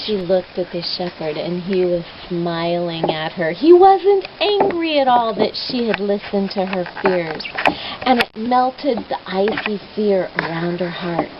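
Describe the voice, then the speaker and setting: A woman telling a dramatic story for children in a theatrical, expressive way. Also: medium pitch. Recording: dull noisy lo-fi home recording.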